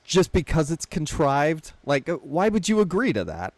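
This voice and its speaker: A man's voice: fairly high pitch, sing-song, normal speed, dry recording. Man casually recapping films, dry close sound. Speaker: podcast host. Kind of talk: casual film discussion, recapping a plot.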